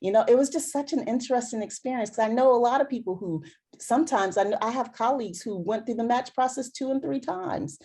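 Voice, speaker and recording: A woman's voice: a little high, brisk, dark tone, slightly rough. A middle-aged woman physician answering questions in a conversational talk, earnest and emphatic, repeating points for stress. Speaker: dermatologist speaker.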